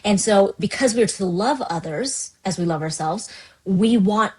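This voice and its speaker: A woman's voice: medium pitch, unhurried, breathy edge. A woman pastor with Cantonese as her first language, talking earnestly in a candid podcast conversation, often restarting her sentences. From United States, Chinese immigrant family. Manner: earnest, animated, many restarts. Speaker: pastor, guest.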